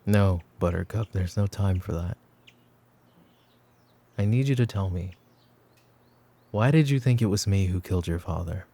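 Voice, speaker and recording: Guy acting as a mafia boss for roleplay asmr, mellow low voice, calm n commanding, kinda brooding and teasing. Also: unhurried, creaky, long pauses, full character voice. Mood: firm, reassuring. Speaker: voice actor. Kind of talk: roleplay ASMR audio drama.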